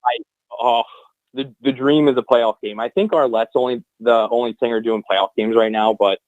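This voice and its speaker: A man's voice: medium pitch, steady tone, moderate pace, darkish tone, no room sound. A young man talks loosely and at length, circling back with ums and restarts as he tells his story. His voice sits mid-range and comes through dull and muffled, a lo-fi remote feed. The sound is close and dry. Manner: rambling, many fillers and restarts. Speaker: guest, hockey anthem singer. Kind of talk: casual podcast chat.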